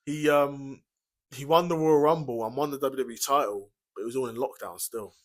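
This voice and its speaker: A man's voice: mid-range voice, fairly quick. A young British man co-hosting a podcast in casual chat, informal and slangy, often repeating himself as he agrees. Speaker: podcast co-host. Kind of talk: casual chat about wrestling.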